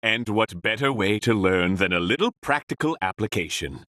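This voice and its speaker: A man's voice: on the low side, lively intonation, normal speed, a bit bright, dry recording, studio quality. An actor playing a smug, grandiose con man who lectures in florid showman's patter for a video game. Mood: smooth, instructive. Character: smug, theatrical swindler teaching a seminar in deception. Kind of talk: video game character acting.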